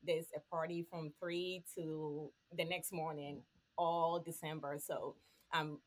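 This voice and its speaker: A woman's voice: low voice, little pitch movement, slow. Woman from Ghana, laughing as she chats. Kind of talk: casual chat.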